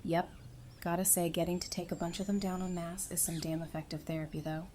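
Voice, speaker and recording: Wry, mellow-voiced young woman fighter, acted by a woman. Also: lowish voice, level tone, brisk, a little hiss or hum, close to an everyday voice. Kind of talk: audio drama dialogue.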